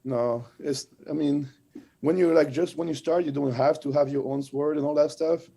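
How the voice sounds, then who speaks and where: A man's voice: fairly high pitch, fairly even intonation, moderate pace, gravelly, warm tone, breathy edge. A man with a French accent, talking casually as an interview guest and telling stories in a conversational way. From France and French-speaking Switzerland. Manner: conversational storytelling with frequent "like".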